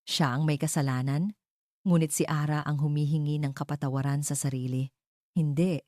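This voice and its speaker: A woman's voice: deep voice, steady tone, moderate pace, dark tone, dry recording, clean studio sound. A woman reading a scripted romantic drama as an audio story narrator.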